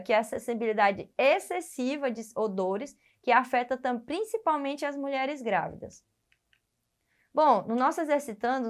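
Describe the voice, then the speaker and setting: A Brazilian woman, a biology teacher, giving a recorded lesson in an explanatory way, often pausing on fillers and restarting phrases. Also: a little high. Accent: Brazilian Portuguese.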